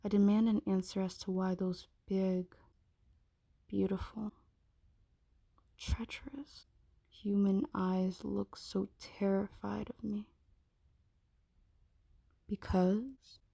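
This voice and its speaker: Girl acting a cruel possessive dragon queen, asmr roleplay, slow n mellow, bossy, drops into whispers. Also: medium pitch, flat delivery, no echo. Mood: haughty, possessive. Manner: slow commanding delivery, slipping into breathy whispers. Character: menacing possessive dragon shifter queen taunting her prisoner.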